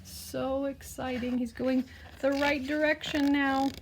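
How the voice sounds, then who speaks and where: A woman's voice: sits high, flat delivery, takes it slowly, a little hiss or hum, rough recording. A woman reading a children's picture book aloud expressively, like a teacher, with explanatory asides and questions to the listener. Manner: explains words and asks the listener gentle questions.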